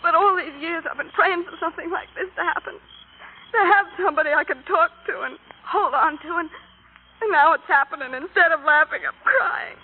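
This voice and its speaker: A woman's voice: sits high, lively intonation, moderate pace. An actress playing an emotional young woman in love, pleading and tearful, in 1940s radio drama style. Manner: emotional, pleading, voice often breaks. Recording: tinny old radio broadcast, faint hiss.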